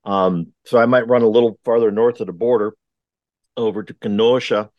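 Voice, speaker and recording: A man hosts a morning podcast, talking in a rambling, earnest way and filling pauses with ums and uhs. His voice sits fairly low. The sound is dry and close, with little room behind it. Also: fairly even intonation, dry recording, muffled recording. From Wisconsin. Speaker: podcast host. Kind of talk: casual podcast chat. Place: remote recording.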